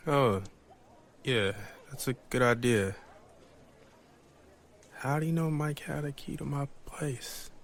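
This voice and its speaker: A man's voice: medium pitch, slow, pauses often. An actor playing a man in a tense audio drama, delivering scripted dialogue. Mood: innocent, evasive.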